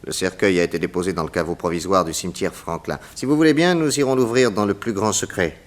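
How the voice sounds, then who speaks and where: Decisive private detective played by a man, lowish voice, brisk and polite, old French radio serial. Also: close to an everyday voice. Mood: inquisitive, decisive. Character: brisk, confident male detective, courteous and decisive. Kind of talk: 1960s French radio mystery serial acting.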